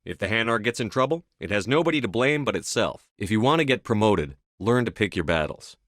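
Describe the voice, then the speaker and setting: A low male voice, close and dry, a resolute military commander in a sci-fi game. He speaks in terse, assertive lines with a blunt, controlled manner. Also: expressive, fairly quick, fairly bright tone, no room sound. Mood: curt, stern. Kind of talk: scripted video game dialogue.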